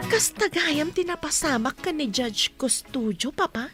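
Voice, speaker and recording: A woman's voice: high voice, animated, measured pace, a bit bright, soft background music. A woman playing an affectionate, eager young daughter in a radio drama. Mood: earnest, supportive. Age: young adult. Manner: affectionate, earnest. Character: devoted, eager young daughter back from working abroad.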